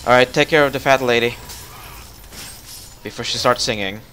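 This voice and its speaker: A man with a bright, forward voice leads a co-op game in casual chat. He is jokey and thinks aloud as he goes, over faint noise and game ambience. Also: medium pitch, moderate pace. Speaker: gamer leading a co-op session.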